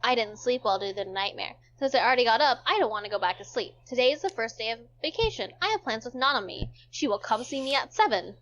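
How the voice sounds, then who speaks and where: High-voiced woman on a dull recording. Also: animated, quick pace, few pauses, fairly bright tone. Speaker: streamer reading a visual novel aloud. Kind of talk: casual dramatic read-aloud narration with banter. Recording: dull, muffled lo-fi audio.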